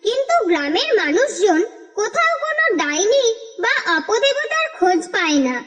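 A woman narrating a cartoon folk tale in a steady, expressive storytelling manner. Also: very high-pitched, normal speed. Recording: reverberant with music underneath.

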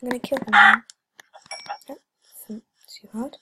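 A woman's voice: on the high side, fairly even intonation, moderate pace. A teenage girl rambling through casual gameplay commentary, with lots of fillers, frequent drops into whispers, and a slightly stuffy voice from a cold. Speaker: gaming channel host. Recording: noisy home recording with clicks.

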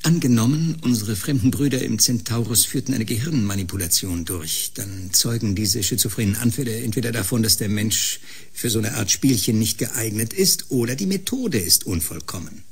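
Very raspy, cool scientist. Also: male voice, lowish voice, animated, moderate pace, full character voice. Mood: dry, skeptical. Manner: dry, matter-of-fact, skeptical. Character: cool, sardonic, ambitious male research scientist. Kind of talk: German science-fiction radio drama acting.

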